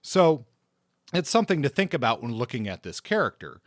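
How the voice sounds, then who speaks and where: A man's voice: medium pitch, sing-song, a little fast, no room sound. Man casually discussing books, dry close sound. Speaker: podcast co-host.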